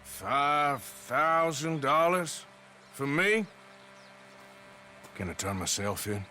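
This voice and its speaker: An actor playing a wry, tough Old West outlaw with an American accent and a sardonic, folksy drawl. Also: male voice, on the high side, animated, slow, bright, forceful tone, slight background noise, full character voice. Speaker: actor in a video game cutscene. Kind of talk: cinematic western game acting.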